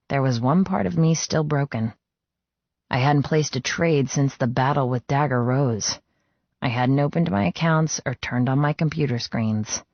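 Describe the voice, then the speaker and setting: A man's voice: mid-range voice, no room sound, highs cut off. A man reading first-person fiction as a dramatic, scripted audiobook narration.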